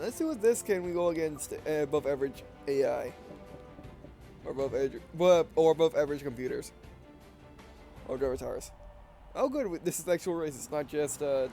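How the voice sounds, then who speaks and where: A man with an accent mixing Southern and Russian features, rambling and correcting himself as he gives casual commentary on a racing game he is playing. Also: fairly high pitch. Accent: mixed Southern and Russian. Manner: rambling, self-correcting, excitable reactions. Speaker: let's play gamer.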